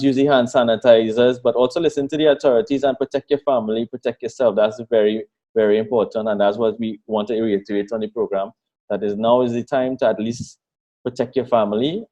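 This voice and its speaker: A man hosts an interview in an even, middle-pitched voice. He is encouraging and keeps repeating his key points. The recording sounds a little dull and muffled. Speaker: host. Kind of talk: interview and podcast hosting.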